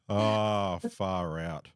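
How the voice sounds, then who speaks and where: A man hosts a relaxed podcast conversation over a call. His voice sits on the low side and sounds dry and close, and he rambles through fillers with frequent laughter. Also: clean tone, dry recording. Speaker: podcast host. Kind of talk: casual interview chat.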